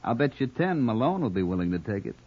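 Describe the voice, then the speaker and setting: An American actor playing a sleazy, wisecracking private detective and blackmailer in an old radio crime drama, with a husky voice. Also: male voice, medium pitch, very animated, fast, very noisy, close to an everyday voice. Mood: sly, insinuating. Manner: wisecracking, insinuating slang. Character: sleazy male private detective, brazen blackmailer, needling and slangy. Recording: muffled old radio recording.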